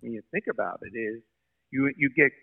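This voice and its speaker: Guest: brisk New man, quick talker, tinny phone-line sound. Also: mid-range voice, vocal fry. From Brooklyn, New York. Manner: brisk, animated storyteller. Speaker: sports marketing guest. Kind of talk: podcast interview.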